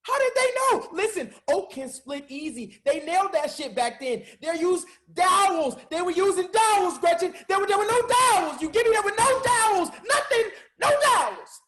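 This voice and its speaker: A man's voice: very high-pitched, lively intonation, normal speed, few pauses, a bit bright. An actor playing a vain, hot-tempered, aggrieved male rapper in a comedy table read. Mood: indignant.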